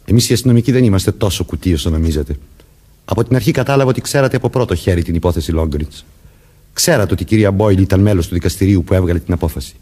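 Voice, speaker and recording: A man's voice: sits low, dark tone, husky. An actor playing a murderer who poses as a commanding policeman, vengeful and childlike underneath, in a theatrical radio drama manner. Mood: accusing. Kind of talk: Greek radio drama acting.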